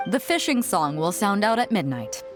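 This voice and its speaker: A woman's voice: medium pitch, very animated, normal speed, music in the background, lightly acted. A woman playing a confident, easygoing pirate captain in a video game, assured and frank. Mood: businesslike. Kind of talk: video game character acting.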